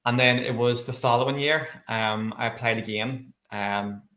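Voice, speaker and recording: A man's voice: medium pitch, steady tone, normal speed, pushed and bright. A man with a Northern Irish accent telling personal stories as an interview guest, chatty and enthusiastic. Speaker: interview guest, landscape painter. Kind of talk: casual interview, personal storytelling. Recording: echoey, roomy sound.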